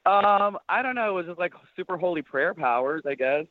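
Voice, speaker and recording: A man who is a drag performer, chatting as a guest in a rapid, campy, sassy way full of slang. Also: high voice, animated, no echo. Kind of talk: casual podcast chat. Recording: tinny phone-like line, dry.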